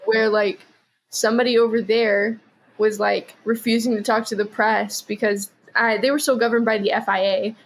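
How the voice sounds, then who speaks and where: A woman's voice: fairly high pitch, flat delivery, normal speed, a little hiss or hum, low-quality recording. A woman chatting casually as a podcast guest, rambling with lots of "like" and "um". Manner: rambling, heavy use of "like" and "um". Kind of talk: casual chat.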